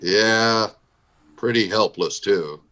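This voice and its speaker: A man's voice: fairly low pitch, slow, bright, forceful tone, dull audio. A man playing a stern, plain-spoken Old West town marshal in a radio Western. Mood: calm, questioning. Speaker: voice actor in radio show recreation.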